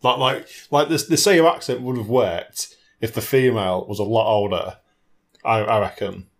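A man's voice: lowish voice, moderate pace. A man chatting casually about films and games, rambling a little as he searches for his words. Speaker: film and game reviewer in a two-person discussion. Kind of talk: casual chat.

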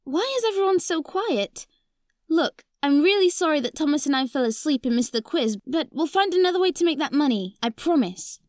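A woman's voice: high voice, animated, brisk, darkish tone, dry recording, clean studio sound. A female performer playing a caring, inquisitive young girl who takes charge, in a children's audio drama. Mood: concerned, reassuring.

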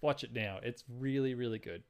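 A man's voice: mid-range voice, moderate pace, smooth. A man co-hosting a podcast, chatting casually about films in a blunt, opinionated way. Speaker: podcast co-host. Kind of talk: casual film chat.

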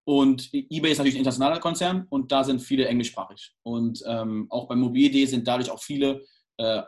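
A man's voice: mid-range voice, steady tone, fast, darkish tone. A young man from England, the guest in a casual interview, talking hesitantly with many restarts and fillers.

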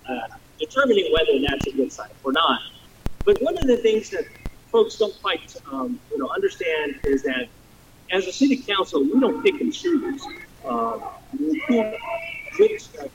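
A man serving as mayor, answering a debate question in a halting way with many fillers. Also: sits high, creaky, far from the mic, background noise.

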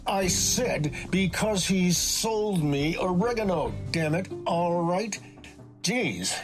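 A narrator voicing a surly, defensive male bully with a gruff, exasperated way of talking in a story. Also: mid-range voice, a little fast, faint music behind, close to an everyday voice. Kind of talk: audiobook character dialogue.

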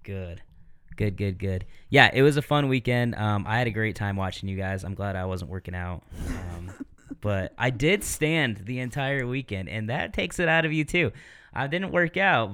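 A man in his thirties hosts a podcast in a relaxed, chatty way, fond of telling stories. His voice sits low and comes across smooth and resonant. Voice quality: deeper voice. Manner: chatty storyteller. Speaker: podcast host. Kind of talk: casual chat.

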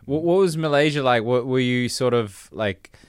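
A man's voice: medium pitch, moderate pace, clear, resonant tone, dry recording, studio quality. A man hosting a casual podcast, asking questions in an upbeat, chatty way. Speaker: podcast host.

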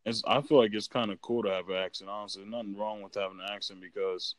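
A man's voice: on the low side, fairly even intonation, quick pace, no echo. A man in casual chat as a podcast guest, rambling with many fillers and restarts. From United States.

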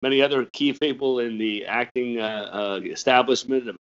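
An older retired man hosting a podcast, hoarse and raspy from a cold, talking in a hesitant way with many fillers and restarts. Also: medium pitch, moderate pace, lo-fi sound. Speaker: podcast host. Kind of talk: casual interview chat.

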